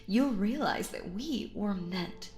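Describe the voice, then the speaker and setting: A woman playing a possessive, sinister stage magician in a roleplay audio drama, coaxing theatrically and slipping into breathy whispers. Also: medium pitch, animated, slow pace, a little mellow, roomy sound, soft background music. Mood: sweetly menacing. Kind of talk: roleplay audio drama, intimate hypnotic monologue.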